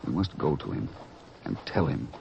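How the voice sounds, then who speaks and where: Deep-voiced earnest disciple Andrew. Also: male voice, sing-song, creaky, darkish tone, slight background noise, full character voice. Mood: troubled, urgent. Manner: earnest, formal, deliberate phrasing. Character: Andrew, earnest male disciple, conscientious and troubled. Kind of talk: old-time religious radio drama acting. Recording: muffled radio recording with music.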